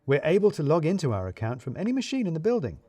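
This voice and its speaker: A man narrating a scripted first-person story in a wry, dryly comic way with deliberate phrasing. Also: fairly high pitch, huge pitch swings, warm tone, no echo.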